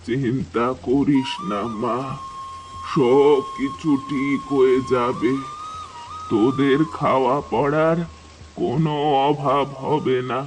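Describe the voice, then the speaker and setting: A man playing a sorrowful, kindly old beggar woman in a children's cartoon, with a drawn-out, plaintive delivery. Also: mid-range voice, steady tone, music in the background, close to an everyday voice, poor audio. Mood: reassuring.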